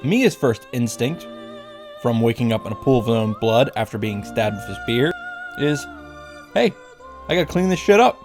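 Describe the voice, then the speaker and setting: A man's voice: medium pitch, lively intonation, moderate pace, pauses often, a little mellow, soft background music. A man giving a sarcastic, profane recap and commentary on a visual novel, as the host of a video. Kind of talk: game recap with commentary, reading lines aloud.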